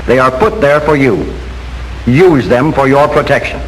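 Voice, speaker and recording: An American man reads a scripted 1940s instructional film narration in a formal, measured, instructive manner. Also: medium pitch. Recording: noisy 1940s film soundtrack with hiss.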